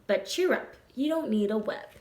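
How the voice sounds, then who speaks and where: A woman's voice: on the high side, animated, normal speed, darkish tone, close to an everyday voice. A woman voicing a wise, encouraging female spider in a storybook, patient and instructive. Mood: consoling, matter-of-fact. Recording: roomy echo.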